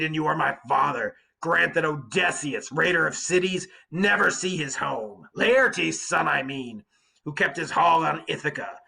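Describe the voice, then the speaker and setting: A man performs a wounded Cyclops in an epic read aloud. The giant is bitter and vengeful, declaiming slowly with a husky, rasping edge. His words are forceful and drawn out. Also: on the high side, exaggerated voice, rough recording. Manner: slow, drawn-out, declaiming delivery. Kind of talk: dramatic storybook reading of epic verse.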